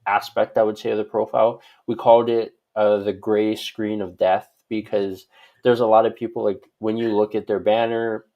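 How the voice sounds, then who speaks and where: A man's voice: fairly low pitch, vocal fry, warm tone. A man from Hawaii answering conversationally as an expert guest in an interview, rambling and often adding "like" and "right?". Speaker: guest expert on social media profiles.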